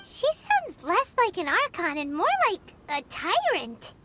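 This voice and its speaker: A woman voicing a tiny, excitable floating female companion creature with a squeaky, chattering voice in a video game. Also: unhurried, background noise, full character voice. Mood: wry. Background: game music.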